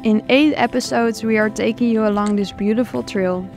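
A woman's voice: on the high side, steady tone, moderate pace, darkish tone, soft background music. A cheerful young woman vlogging a long hike, chatting on the trail and narrating. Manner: cheerful, enthusiastic. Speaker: hiking vlogger. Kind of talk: travel vlog narration and trail chat. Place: outdoors on a forest trail.

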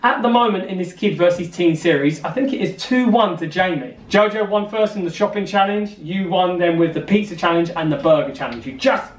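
A man's voice: high voice, fairly quick, hardly any pauses, roomy sound, distant mic. A man hosting a family vlog, upbeat and coaching his kids through a shopping challenge, filmed on a handheld camera. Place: home room, then car and supermarket.

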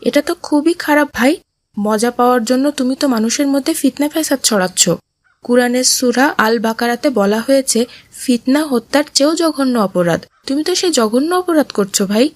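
A woman's voice: high voice, lively intonation, moderate pace, dry recording. A woman voicing a kind, pious boy who earnestly admonishes others in a moral cartoon. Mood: earnest, reproving. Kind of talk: Islamic moral cartoon voice acting.

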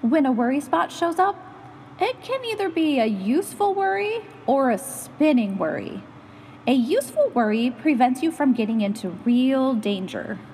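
A woman reading a children's picture book aloud in an animated, instructive, expressive way. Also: sits high, big-room sound, a little hiss or hum. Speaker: storytime reader and host.

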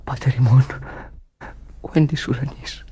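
A man's voice: medium pitch, steady tone, dark tone, slightly rough, whispered. An actor playing an anguished, protective sailor in a radio drama, emotional in his delivery. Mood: grieving prayer. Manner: urgent, soothing, emotional. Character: anguished, protective male sailor comforting a dying friend.

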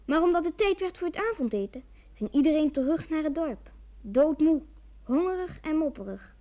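A woman's voice: high voice, expressive, normal speed. A woman narrating a scripted radio play as a storyteller. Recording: dull vintage radio play recording.

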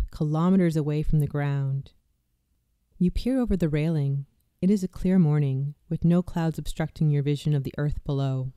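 A meditation guide narrates a guided visualization with a deeply mellow, dark-toned voice. The delivery is slow, soft and soothing, sometimes dropping to a hush. The sound is smooth and dry, with no room echo.

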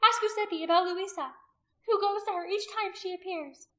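Shrill, zealous pagan high priestess. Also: female voice, moderate pace, reverberant. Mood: venomous, accusing. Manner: shrill, imperious, accusing declamation. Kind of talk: dramatic audiobook character acting.

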